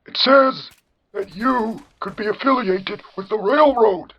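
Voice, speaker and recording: A man's voice: high voice, a little slow, dull audio, voice pushed up. A man voicing a cranky, indignant old synth with a blustering, exaggerated generic old man voice in a comedy skit. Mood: menacing. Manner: blustering, repetitive, scolding.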